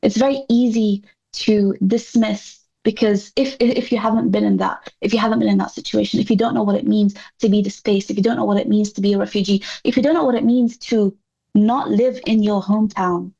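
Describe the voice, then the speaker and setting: A woman's voice: mid-range voice, fairly even intonation, fairly quick, creaky, warm tone, breathy edge, muffled recording. A woman speaking as an interview guest, articulate and impassioned, giving earnest answers with emphatic repetition.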